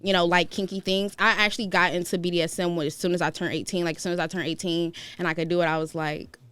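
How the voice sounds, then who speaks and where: Casting: young Detroit woman guest, smooth lowish voice, relaxed, dry close mic. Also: fast talker, dry recording. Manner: relaxed, laughs between words, many fillers. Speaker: guest, professional dominatrix. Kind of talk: casual interview chat.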